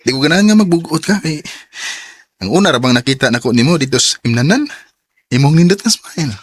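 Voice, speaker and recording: A man's voice: a little high, expressive, quick pace, husky. A man acting a polite, hesitant, sweet-talking young office suitor in a radio drama, with a Cebuano accent. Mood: sweet, hopeful. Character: eager, shy young male suitor at the office.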